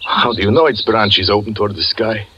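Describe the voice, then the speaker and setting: A man playing a brooding magician who narrates his story in a 1940s radio drama, slow and solemn. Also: on the low side, fairly even intonation, noisy background, natural voice. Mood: tender. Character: brooding male magician and fortune teller narrating his past. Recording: dull 1940s radio broadcast with music.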